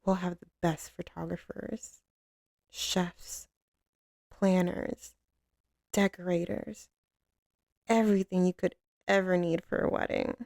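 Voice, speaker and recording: A woman acting as a commanding female crime boss softening for a sweetheart in an ASMR roleplay. The voice is mellow and full of gravelly fry, delivered slowly and intimately. It sits close on the mic in a very dry space, with swaggering, slangy devotion. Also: lowish voice, steady tone, no echo. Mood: doting, earnest. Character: tough, commanding female mafia boss, tender and doting with lover. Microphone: close studio microphone, dry.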